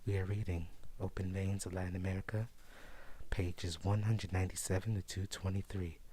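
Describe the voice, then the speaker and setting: Deep husky guy whispering news asmr style, fake posh british voice. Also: level tone, normal speed, warm tone. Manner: puts on a posh British accent, laughs often. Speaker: news show host.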